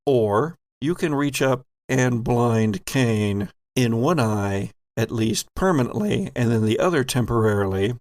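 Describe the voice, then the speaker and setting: A man hosting and running a horror tabletop game as game master, narrating in a measured, formal, theatrical manner. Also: mid-range voice, lively intonation, a little mellow, no room sound, clean studio sound. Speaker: game master, podcast host.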